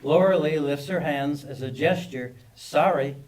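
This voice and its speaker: A man's voice: a little high, a little slow, a little mellow, poor audio. A man narrating scripted stage directions at a screenplay table read.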